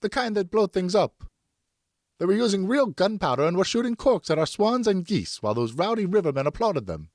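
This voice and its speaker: A man's voice: sits high, very animated, a little fast, darkish tone, dry recording, clean studio sound, pitched above the performer's own voice. A man voicing a distraught, angry French wife and mother in an audiobook, anxious, indignant and pleading as she scolds. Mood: furious, alarmed. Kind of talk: audiobook character acting.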